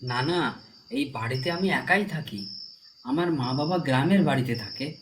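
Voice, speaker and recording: Man playing a gentle, mid-pitched young husband. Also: animated, moderate pace, slight background noise, close to an everyday voice. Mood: reassuring. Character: kind, reassuring young husband with an office job. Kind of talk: Bengali cartoon folk-tale dialogue.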